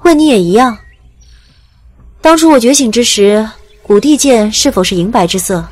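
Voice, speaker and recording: Composed, commanding ancient god, higher-pitched. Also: female voice, lively intonation, moderate pace, a little mellow. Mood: composed, probing. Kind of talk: audio drama acting.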